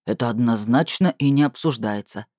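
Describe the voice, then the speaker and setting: Woman putting on a very deep, grumbling male bass. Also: normal speed, warm tone, no echo, clean studio sound. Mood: reluctant. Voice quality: very low, rumbling bass. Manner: grumbling, brooding. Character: mighty, grumbling, irascible male being with thunderous bass.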